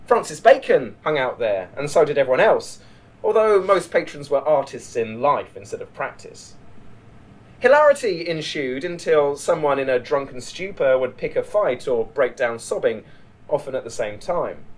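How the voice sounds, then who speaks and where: Male voice, mid-range pitch, scripted storytelling, measured literary reading. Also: very animated, low-quality recording. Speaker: writer reading a short story.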